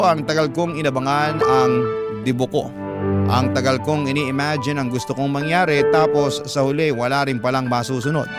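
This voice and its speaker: A man with a Filipino accent narrating a scripted first-person story as a host, in an emotive, deliberate radio-drama style. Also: a little high. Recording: loud music bed under voice. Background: loud instrumental music.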